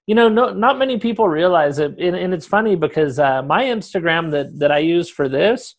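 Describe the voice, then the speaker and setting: A young man hosts a relaxed interview over a video call, wearing headphones. His voice sits a little high for a man, and he talks in a chatty, rambling way, often restarting his sentences. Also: brisk. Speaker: podcast host.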